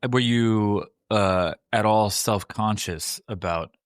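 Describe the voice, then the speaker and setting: A man's voice: on the low side, slow, clear, resonant tone, dry recording. A man in his forties co-hosting a podcast, rambling in casual chat with restarts and the odd swear. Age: 40s. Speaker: podcast co-host. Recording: dry close sound.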